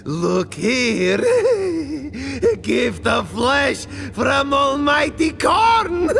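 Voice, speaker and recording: High-pitched, bellowing bloodthirsty war champion. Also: male voice, vocal fry, bright, forceful tone, full character voice. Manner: bellowing, gloating, menacing. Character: bloodthirsty roaring male Chaos war champion of a blood god. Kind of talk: video game villain acting.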